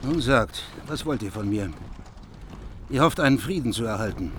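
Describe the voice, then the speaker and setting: A man's voice: mid-range voice, expressive, normal speed, fairly bright tone, slight background noise, close to an everyday voice. An actor playing a grieving, unforgiving king who speaks sternly in a radio play. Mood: grim. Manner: stern, grim, pressing.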